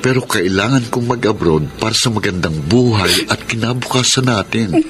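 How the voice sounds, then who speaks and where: A man's voice: fairly low pitch, moderate pace, fairly bright tone, a little hiss or hum, close to an everyday voice. An actor playing a devoted young seaman fiancé in a Filipino radio drama, soothing and earnest.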